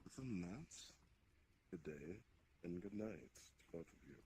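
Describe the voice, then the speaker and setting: A man improvising in roleplay as a commanding, hospitable demon king, speaking in a deliberate, formal way. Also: sits low, expressive, dark tone. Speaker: roleplayer leading an improvised scene. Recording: noticeable background noise on mic.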